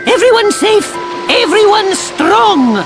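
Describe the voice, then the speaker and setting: Squeaky, eager little furry creature. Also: lively intonation, slow pace, over music, full character voice. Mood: eager, cheerful. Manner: speaks in third person with simple broken grammar. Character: small cheerful furry male Nopon creature, childlike, eager. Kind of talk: video game voice acting.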